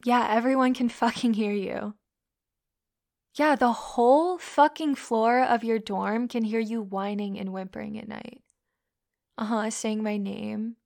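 A woman's voice: on the high side, unhurried, creaky, a little mellow. A young woman playing a scornful, bullying college woman who taunts and swears constantly. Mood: sneering. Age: young adult. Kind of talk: audio roleplay.

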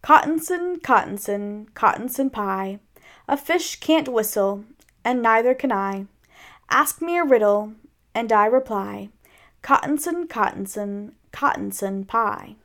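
A woman's voice: a little high, very animated, unhurried, fairly bright tone, full character voice. A woman voicing a simple, kindly male storybook bear with an innocent, puzzled, childlike sing-song manner. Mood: singsong, content.

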